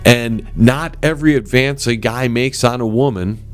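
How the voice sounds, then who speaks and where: A man hosting his own talk show, with a strong voice, delivering a forceful, animated opinion monologue straight to his listeners. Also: normal speed, soft background music. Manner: animated and forceful, swings up in pitch; addresses listeners as ladies and gentlemen.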